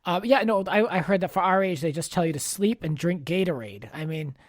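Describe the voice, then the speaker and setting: A man's voice: high voice, animated, a little fast, dry recording. A man hosts a casual podcast in an upbeat, informal way. His speech is conversational and sprinkled with "like". The recording is dry, with very little room sound. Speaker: podcast host. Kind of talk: casual chat.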